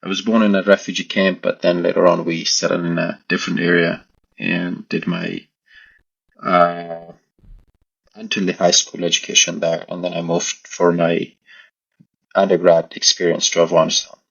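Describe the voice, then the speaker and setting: A man speaks very low and with little melody, his voice full of creak. He is an interview guest who picks his words carefully and hesitantly. The recording is slightly dull. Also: completely flat delivery, moderate pace, fairly bright tone. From Pakistan. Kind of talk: conversational personal recollection.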